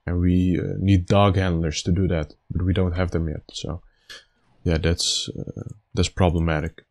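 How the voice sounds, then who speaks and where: A man with a slightly raspy, stuffed-up voice giving casual gameplay commentary, thinking aloud in a halting way. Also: low voice, level tone, darkish tone. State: stuffy nose after being sick. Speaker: gaming video host.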